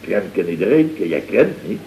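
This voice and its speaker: An actor playing an excitable, self-important boss of a small building firm in a vintage radio comedy, blustery and exclamatory. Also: male voice, low-pitched, moderate pace. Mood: proud, eager. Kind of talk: 1970s radio comedy acting. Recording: muffled, noisy, echoey vintage radio recording.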